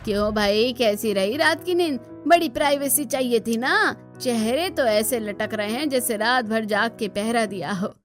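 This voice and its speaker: A woman's voice: a little high, a little slow, hardly any pauses, soft background music, natural voice. A woman voicing an earthy, teasing old village mother-in-law in a cartoon, with rustic, scolding speech. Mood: mocking.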